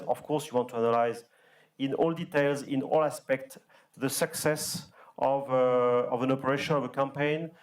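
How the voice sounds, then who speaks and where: A company executive speaking at a round table, a man who makes his points emphatically and repeats his phrases. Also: medium pitch.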